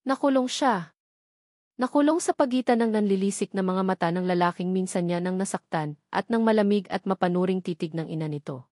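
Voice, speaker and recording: A woman's voice: medium pitch, brisk, darkish tone, no room sound, studio quality. Woman narrating a dramatic love story.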